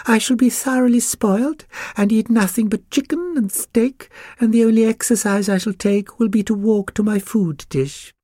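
A man's voice: very high-pitched, fairly even intonation, slow, few pauses, fairly bright tone, dry recording, studio quality, voice pushed up, exaggerated voice. A man voicing a snooty, spoiled pug puppy in a storybook, with a lofty, scornful manner. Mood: haughty disdain. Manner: lofty, haughty, snorting.

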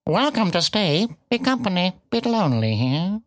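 A woman's voice: mid-range voice, sing-song, normal speed, dry recording, clean studio sound, voice pushed up, natural voice. A woman voicing a battered, friendly ginger tomcat in a children's story, biting off short phrases and spitting them from the side of the mouth. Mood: gruff, welcoming.

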